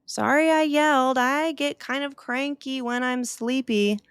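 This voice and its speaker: A woman's voice: sits high, fairly even intonation, measured pace, clear, resonant tone, dry recording, clean studio sound, voice pushed up. A woman doing a cranky sleepy bat. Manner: cranky, apologetic. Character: grumpy sleepy nocturnal bat.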